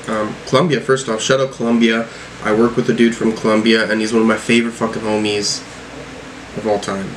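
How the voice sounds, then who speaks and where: A man with a medium-pitched voice gives an informal reaction as a music reviewer. He thinks aloud in a halting, slangy ramble with occasional swearing. The recording has audible background noise. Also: a bit bright, rough recording. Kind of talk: casual off-the-cuff reaction commentary.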